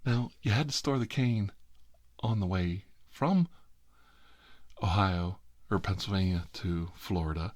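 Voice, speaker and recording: A man's voice: sits low, measured pace, dry recording. A middle-aged American man telling a rambling story in ASMR style, with a wry, sarcastic, exasperated manner.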